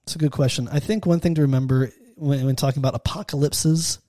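A man co-hosting a casual faith podcast, talking earnestly with halting restarts. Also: fairly high pitch, steady tone, dark tone, dry recording, studio quality. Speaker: podcast co-host.

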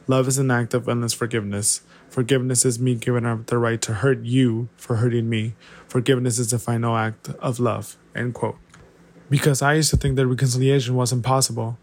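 Man from Mexico, even-toned, earnest listener message. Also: mid-range voice.

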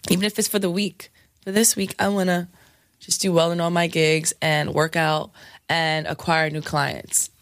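A woman DJ with a scratchy, hoarse voice, chatting frankly in an interview with lots of fillers and laughs. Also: lowish voice, flat delivery, normal speed, no echo, studio quality. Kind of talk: candid casual interview talk.

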